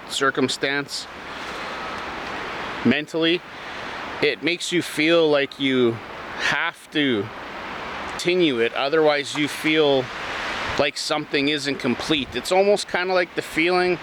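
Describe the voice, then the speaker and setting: A man giving an unscripted motivational talk as a channel host, speaking in a reflective, halting, rambling way. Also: a little high, background noise.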